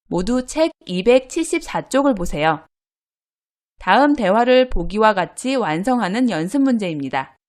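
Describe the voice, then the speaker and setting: Lively, clear woman teaching a grammar lesson. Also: medium pitch. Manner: clear, patient explaining tone. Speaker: Korean language teacher.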